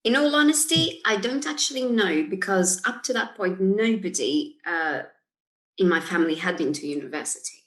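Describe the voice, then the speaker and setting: A woman's voice: mid-range voice, animated, moderate pace, fairly bright tone, rough recording. A woman in her forties from Portugal, a guest on an interview podcast, thinking aloud and laughing often. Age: 40s. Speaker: guest, solicitor. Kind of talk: casual interview chat.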